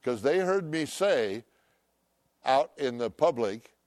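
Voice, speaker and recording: An older man preaching a sermon, loud and emphatic, swinging between shouts and hushed asides. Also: medium pitch, lively intonation, slow pace, dry recording, clean studio sound. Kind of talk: impassioned sermon.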